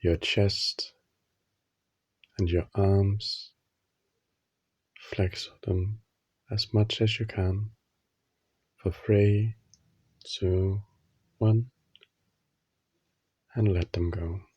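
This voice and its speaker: Slow, low-voiced man guiding sleep meditation. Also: steady tone, darkish tone, husky, no echo. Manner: slow, soothing, instructional, with long pauses between short phrases. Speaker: guided meditation leader.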